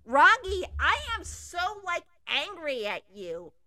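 Casting: a woman playing a shrill valley-girl teen, loud, very high, bright. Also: big pitch swings, slow pace, no echo, studio quality, exaggerated voice.

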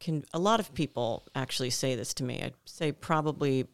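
A woman from the gym staff talks in a casual podcast chat, with a gravelly yet smooth, resonant voice. She tells her story in a chatty way. The sound is dry and close. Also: very deep voice, fairly quick, no room sound.

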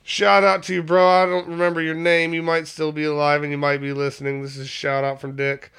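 A man's voice: on the high side, level tone, brisk, creaky, a bit bright, clean tone. A man in his thirties co-hosting a podcast in a rambling, laid-back way, swearing constantly and laughing often. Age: 30s. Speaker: podcast co-host. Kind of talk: casual stoned chat.

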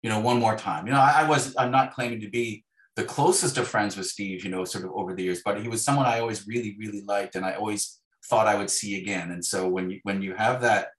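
A middle-aged man with a Canadian accent speaks in a lowish voice as a guest in a relaxed conversation. He gropes for words and corrects himself as he reflects. The room adds a clear echo around him. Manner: halting, searching for words, self-correcting. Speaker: interview guest, novelist. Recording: roomy, echoey voice pickup.

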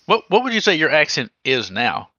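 A man's voice: on the high side, big pitch swings, fairly quick, sharp tone, dry recording, highs cut off. A man co-hosting a podcast, rambling and joking as he gives advice in casual chat. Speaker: podcast co-host.

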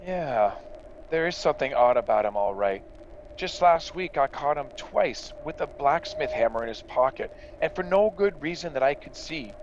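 An actor playing a confident farmer, a dutiful son and brother, in a radio drama. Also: male voice, a little high, expressive, moderate pace, dull audio, a little hiss or hum, lightly acted. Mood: wary. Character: confident farmer son, reassuring, dismissive of worries.

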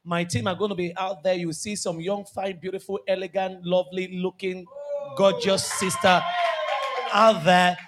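A Nigerian man preaching a lively church sermon, repeating key phrases and joking with the congregation. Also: high voice, normal speed, very noisy. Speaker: pastor.

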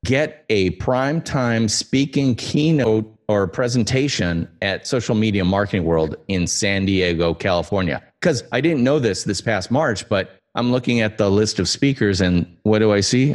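A man hosting a podcast, asking questions in an animated, playful way. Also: on the low side, moderate pace. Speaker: podcast host. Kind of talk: podcast interview and ad read.

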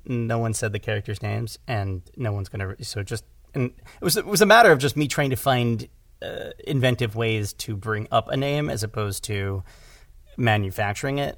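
Male podcast guest, medium pitch with heavy fry, conversational and rambling, dry recording. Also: fairly bright tone, no room sound. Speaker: podcast guest, audio drama writer and director. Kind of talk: casual conversational discussion.

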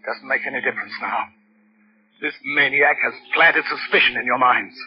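An actor playing a desperate, scheming murderer in intense, melodramatic 1940s radio style. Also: male voice, mid-range voice, moderate pace, natural voice. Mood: cold scheming. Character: desperate male murderer, cold schemer turning panicked. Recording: noisy vintage radio recording, muffled.

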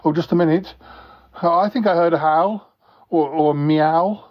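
A man's voice: on the high side, moderate pace, highs cut off, natural voice. A man playing an anxious, mild-mannered fellow in a comic audio drama, stammering and dithering.

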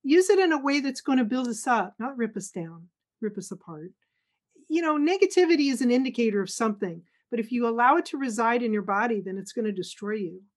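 A woman's voice: fairly high pitch, animated, brisk. A middle-aged woman guest in an interview, talking earnestly and emphatically and acting out what others say. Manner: earnest, emphatic, acts out quotes. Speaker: guest speaker. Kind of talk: conversational interview.

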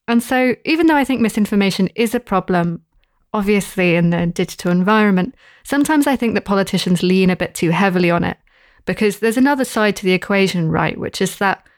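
A woman's voice: mid-range voice, no echo. Thoughtful woman, dry close mic. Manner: thoughtful, halting with restarts and fillers. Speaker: podcast guest researcher. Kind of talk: casual podcast discussion.

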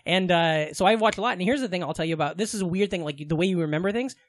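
A man's voice: high voice, very fast, no room sound, clean studio sound. A man with a slight lisp chatting casually as a podcast co-host, rambling and joking crudely. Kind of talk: casual comedic chat.

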